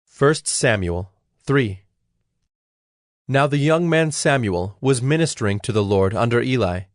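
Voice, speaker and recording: A man narrating scripture from a script in a measured, solemn manner. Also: mid-range voice, expressive, no echo, clean studio sound. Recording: faint music behind the voice.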